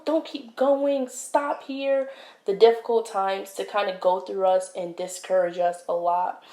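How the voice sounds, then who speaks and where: A young woman hosting her own podcast, talking in a casual, reflective way with many fillers and pauses, recorded at home on a close mic. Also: medium pitch, warm tone. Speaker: podcast host. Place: indoors, home setup. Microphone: wired podcast mic, close. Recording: echoey room.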